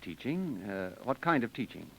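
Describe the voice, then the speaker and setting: A man's voice: medium pitch, sing-song, normal speed, creaky, slight background noise. A man hosting and announcing in a formal, declamatory 1930s radio style. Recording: dull vintage radio recording.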